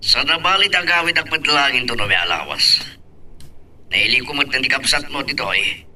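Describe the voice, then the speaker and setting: A man's voice: medium pitch, expressive, moderate pace, pushed and bright. A man acting a concerned father advising his grown daughter in an Ilocano-accented radio-style love drama.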